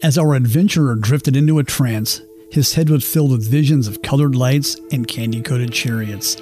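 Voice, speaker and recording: Guy doing a wry old-radio style narrator, measured and mock literary, bit of music under him. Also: mid-range voice, darkish tone, natural voice. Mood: mock-dramatic. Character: wry storybook narrator of a hapless hero's adventure. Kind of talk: old-time radio adventure comedy narration.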